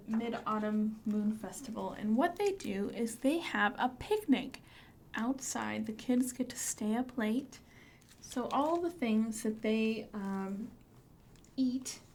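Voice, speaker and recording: A woman's voice: a little high, darkish tone, slight background noise. A woman hosting a children's storytime, explaining books and rhymes simply for young listeners. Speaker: children's librarian, storytime host.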